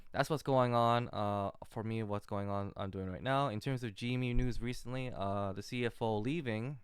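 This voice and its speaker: A man giving an off-the-cuff screen-share commentary as an amateur investor, talking in a halting, rambling way. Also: on the low side, smooth, no echo, studio quality. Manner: halting, rambling, hesitant delivery. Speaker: retail investor recording a portfolio update.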